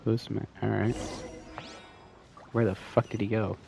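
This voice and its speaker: A woman's voice: rumbling low, flat delivery, unhurried, gravelly, warm tone, faint music behind. A woman streamer giving casual, sweary reaction commentary over gameplay. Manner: informal, sweary. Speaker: game streamer.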